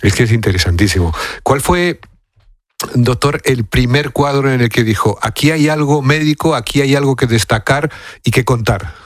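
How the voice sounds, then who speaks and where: A man's voice: medium pitch, moderate pace, dry recording. Spanish male radio host, dry studio sound. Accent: Castilian Spanish. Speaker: radio host interviewing a guest. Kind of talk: conversational radio interview.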